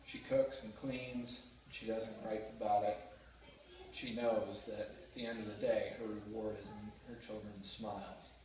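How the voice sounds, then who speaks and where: A man's voice: on the low side, little pitch movement, a little slow, darkish tone. A young man giving an earnest, heartfelt class speech, with a few small restarts. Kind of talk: prepared speech. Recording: roomy echo, mic far off, faint noise.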